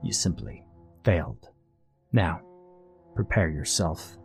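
Man voicing a haughty, sinister devilish contest master, low-pitched. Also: big pitch swings, slow, husky, soft background music, exaggerated voice. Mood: haughty, final. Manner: formal, condescending, sneering.

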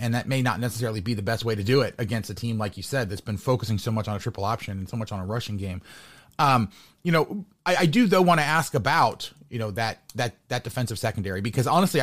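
A man's voice: medium pitch, expressive, quick pace. American man hosting a rambling sports chat. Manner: rambling, repeats words as he thinks aloud. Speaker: podcast host interviewing a sports guest.

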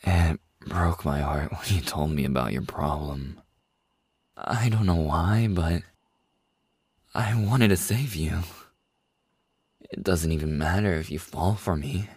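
A man playing a deep, husky lovestruck boss. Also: no room sound, clean studio sound, heavily acted. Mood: pained, loving. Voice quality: husky, breathy. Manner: soft, hesitant, slow with long pauses. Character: tender, lovestruck male boss confessing to an employee. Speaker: audio roleplay performer. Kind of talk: intimate boyfriend ASMR roleplay acting.

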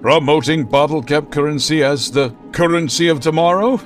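An actor playing a pompous, melodramatic millionaire vigilante in the style of an old radio serial, with grand theatrical proclamations. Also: male voice, a little high, moderate pace, soft background music, natural voice. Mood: boastful. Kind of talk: 1940s radio serial acting.

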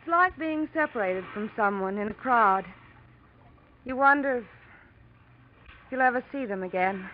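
A woman's voice: on the high side, animated, a little slow, long pauses. An actress playing a wistful, talkative young woman traveller in an old-time radio drama. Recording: muffled vintage radio recording, faint noise.

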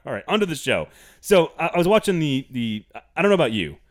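A man's voice: fairly high pitch, big pitch swings, fast talker. A man hosting a casual sports podcast, playful and teasing, reading an ad with gusto. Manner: playful, teasing, reads ads with enthusiasm. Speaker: podcast host.

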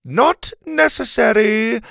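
Guy doing bossy scornful storybook lady, pitched way up, loud n clipped, no patience at all. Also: slow, gravelly, dry recording, studio quality, heavily acted. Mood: commanding. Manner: commanding, clipped, formal wording. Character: bossy, impatient, scornful female camp onlooker.

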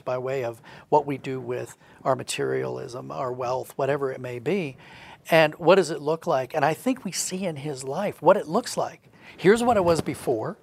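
A man's voice: mid-range voice, creaky, darkish tone. A man giving earnest, reflective explanations as a guest in a conversational interview. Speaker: guest, audio drama writer.

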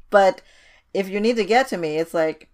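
A woman's voice: mid-range voice, unhurried, a little mellow. A woman from Indonesia co-hosting a podcast, chatting informally and repeating words for emphasis. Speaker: podcast co-host. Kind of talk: casual conversational chat.

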